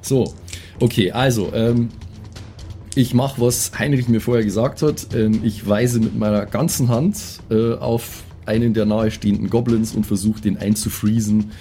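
A man plays a tabletop role-playing game, talking casually through his moves. His voice is on the low side and dark in tone, over faint music. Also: steady tone, moderate pace.

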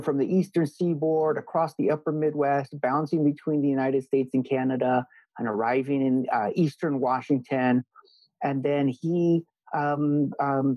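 Male guest from Hawaii, law scholar; warm, husky voice, dry close sound; earnest, emphatic, thinks aloud. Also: fairly high pitch, no room sound, low-quality recording. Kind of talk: thoughtful interview answers.

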